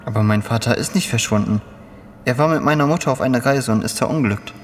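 A male actor plays a confused, anxious father in a radio drama. His mid-pitched voice presses urgently with questions and trips over words. It rings with long reverb over faint ambient noise. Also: quick pace, natural voice. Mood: confused, upset. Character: bewildered, anxious father demanding answers. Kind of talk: German audio drama acting.

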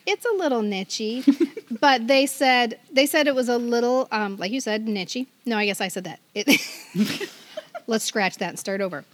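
A woman's voice: fairly high pitch, normal speed. A middle-aged woman co-hosting a podcast in casual chat, playful and self-deprecating. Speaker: podcast co-host.

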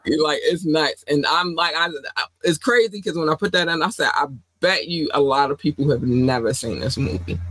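A woman co-hosting a casual podcast, talking in an animated, playful way, with slang and phrases she repeats for effect. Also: low-pitched, fairly quick, no echo, rough recording. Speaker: podcast co-host.

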